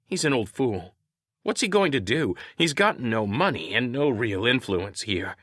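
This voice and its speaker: A man's voice: medium pitch, sing-song, unhurried, a bit bright, no room sound, studio quality, voice pushed up. A man voicing a tough, assertive woman ship commander in an audiobook story. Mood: scornful. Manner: heated, assertive.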